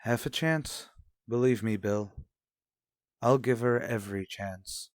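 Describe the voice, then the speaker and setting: An actor playing a stern, guarded husband in a radio soap drama, speaking in a formal, measured, skeptical way. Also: male voice, mid-range voice, dry recording, lightly acted. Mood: doubtful. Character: stern, guarded husband and father in troubled marriage. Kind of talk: revived vintage radio soap drama acting.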